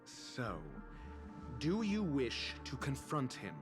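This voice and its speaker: A haughty male scholar in a video game, speaking slowly with lively, swinging intonation. He is dry, articulate and condescending, with music behind him. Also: medium pitch. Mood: explaining, assured.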